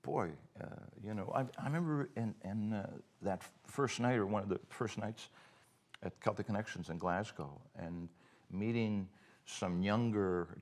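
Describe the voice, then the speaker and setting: A middle-aged man with a Cape Breton accent, a festival co-founder telling stories in a relaxed live chat. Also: fairly low pitch, a little slow. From Cape Breton, Nova Scotia, Canada. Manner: animated storyteller, acts out quoted dialogue.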